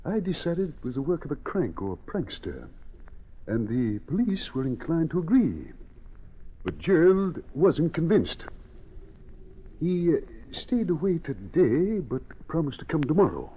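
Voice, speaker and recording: An actor playing an earnest, grave archaeologist who lectures formally and carefully, in old-time radio drama style. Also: male voice, fairly high pitch, very animated, measured pace. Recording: muffled, noisy old radio recording.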